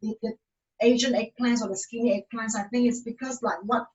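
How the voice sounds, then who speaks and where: A woman from Malaysia, giving a casual cooking demonstration in a halting way, full of fillers and restarts. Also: fairly high pitch, steady tone, low-quality recording.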